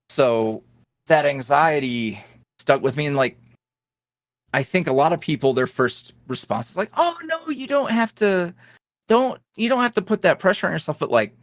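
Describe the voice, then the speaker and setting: A man guest on a podcast, an ASMR roleplay creator, talking candidly in a rambling, filler-heavy way that swings from lively bursts to low mutters. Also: measured pace, pauses often, no echo, clean studio sound. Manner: swings between animated highs and low mutters. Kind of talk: casual interview chat.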